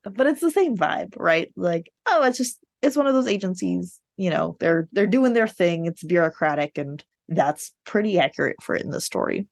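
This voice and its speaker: A woman chatting casually as a podcast co-host, telling things in an animated, digressive way. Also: on the low side, moderate pace, few pauses, no echo, clean studio sound. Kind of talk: casual book discussion chat.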